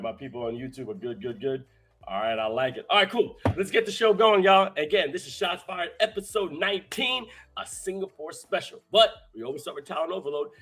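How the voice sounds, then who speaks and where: A young adult man hosting a live streamed show, hyped and energetic, his voice hoarse from talking so much. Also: on the high side. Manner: energetic, shouting out viewers in chat. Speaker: host.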